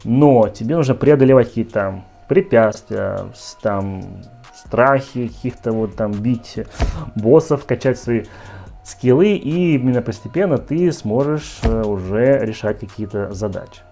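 A man's voice: mid-range voice, lively intonation, a little slow, creaky, dark tone, faint music behind. Earnest man coaching straight to camera. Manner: earnest, direct, speaks to the viewer as "you", many fillers. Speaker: speech coach. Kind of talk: talking-head video lesson. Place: home office with shelves, indoors. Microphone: lapel mic.

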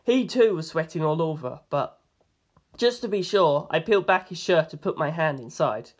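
Story narrator, mid-range pitch, reading first-person fiction audiobook-style. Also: expressive, moderate pace.

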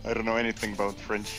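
A man from Estonia with an Estonian accent, chatting casually in a second language, halting and searching for words. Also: fairly low pitch, low-quality recording. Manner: halting, many ums and uhs, searching for words. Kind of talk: casual voice chat.

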